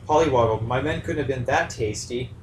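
A man playing a brave, diplomatic rabbit sea captain with a measured, courteous way of talking, in an amateur puppet story. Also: mid-range voice, lightly acted. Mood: bold pleading. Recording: echoey room, far mic, faint noise.

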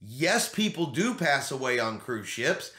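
A man's voice: mid-range voice, big pitch swings, a little slow, sharp tone. A middle-aged man explaining things to camera in an emphatic way, repeating his key points as a vlog host. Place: small home studio room, indoors. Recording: roomy indoor echo.

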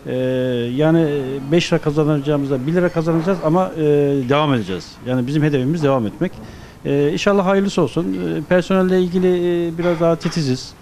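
A middle-aged man giving halting, unscripted answers as a restaurant manager being interviewed. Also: a little high, darkish tone. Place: restaurant dining room. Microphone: handheld microphone. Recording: clear background noise, restaurant ambience.

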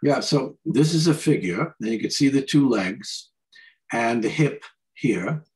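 Older man, artist, medium-pitched voice, thoughtful halting delivery, informal slide talk, video call. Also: lively intonation, darkish tone, low-quality recording. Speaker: artist presenting his paintings. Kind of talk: informal slide commentary on art.

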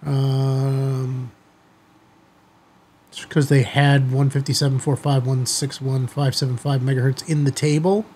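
A middle-aged American man with a slightly husky voice, talking informally and directly to viewers as a video host. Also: medium pitch, a little mellow, slight background noise. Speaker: amateur radio video host. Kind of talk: casual chatty commentary to viewers. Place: small indoor studio office, seated at desk.

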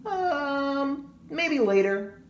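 A man voicing a gentle, earnest, anxious little boy from a storybook, speaking tentatively. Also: very high-pitched, big pitch swings, very slow, pitched above the performer's own voice, full character voice. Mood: bright, hesitant. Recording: roomy echo, audible background noise.

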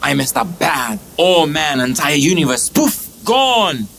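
A man playing an excitable, eccentric stoner cosmic engineer in a comedy audio drama, rambling, slangy and melodramatic. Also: on the high side, measured pace, heavily acted. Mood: flustered, incredulous. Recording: clearly noisy track.

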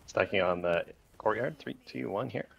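A man leading a raid group over voice chat, calling short commands in rapid repeated bursts with countdowns. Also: lowish voice, vocal fry, husky, dull audio.

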